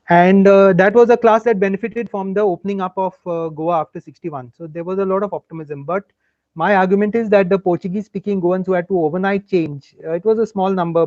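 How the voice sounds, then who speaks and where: Man, reflective, rambling, high voice, dull dry sound. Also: darkish tone, no room sound. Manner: reflective, rambling, many fillers. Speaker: guest. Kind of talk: casual panel talk. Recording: dull, dry remote audio.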